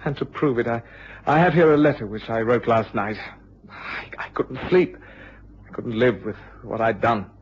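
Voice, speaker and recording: An actor playing an ashamed young male student in a radio drama, speaking in a halting, contrite way. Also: medium pitch, lightly acted. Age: young adult. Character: ashamed young male student, honourable but guilt-ridden. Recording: dull, faintly noisy radio recording.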